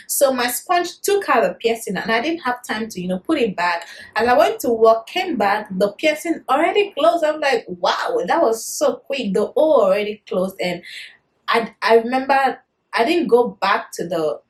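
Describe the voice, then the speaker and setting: A woman in her thirties with a Nigerian accent, chatting to camera in a casual vlog, animated and quick to laugh. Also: on the high side, rough recording. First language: Yoruba. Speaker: vlogger.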